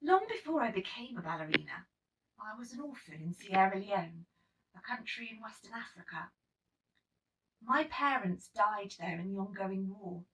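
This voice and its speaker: A woman reading a children's picture book aloud in an expressive, dramatic storytelling manner. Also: medium pitch, slight background noise. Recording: slightly distant microphone.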